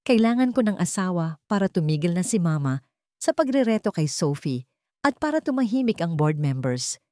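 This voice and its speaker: Lady doing a rich young ceo guy in a story drama, firm n businesslike, very animated delivery. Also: fairly low pitch, normal speed, no echo, studio quality. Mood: persuasive, businesslike. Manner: firm, pragmatic, urgent. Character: commanding wealthy young male CEO, businesslike, persuasive.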